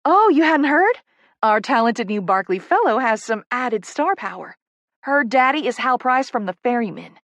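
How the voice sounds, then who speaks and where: A woman voicing a tiny, bubbly Southern publicity woman who gushes excitedly and emphatically in a Southern US accent, in an audiobook. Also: fairly high pitch, no room sound, voice pushed up. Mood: squealing excitement. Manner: effusive, emphatic, rapid gushing chatter.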